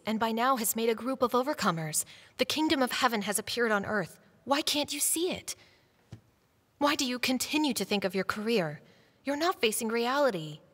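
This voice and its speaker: A woman's voice: a little high, a bit bright. A woman playing a devout, defiant middle-aged wife in a dubbed stage drama, earnest, preachy and challenging. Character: devout, defiant wife pursued for her faith. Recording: reverberant hall-like sound.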